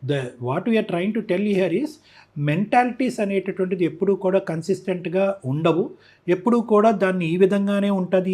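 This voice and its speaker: A man with a Telugu accent and a husky voice, explaining his points emphatically as an expert guest in a conversational interview. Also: high voice, a little mellow. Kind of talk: conversational interview commentary mixing Telugu and English.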